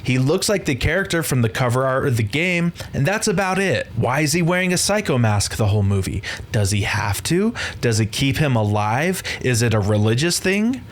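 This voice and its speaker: Sardonic male film reviewer. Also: medium pitch. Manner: sardonic, dismissive. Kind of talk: scripted video essay commentary.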